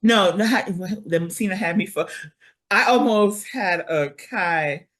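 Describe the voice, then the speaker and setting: A woman's voice: mid-range voice, slow pace. A woman in casual chat, talking bluntly and swearing freely. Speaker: podcast guest.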